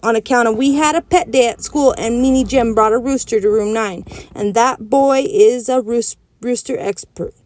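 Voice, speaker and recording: A woman's voice: fairly high pitch, moderate pace, darkish tone, a little hiss or hum, close to an everyday voice. A woman voicing a spirited, stubborn little girl who explains things bluntly in childish grammar. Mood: insistent.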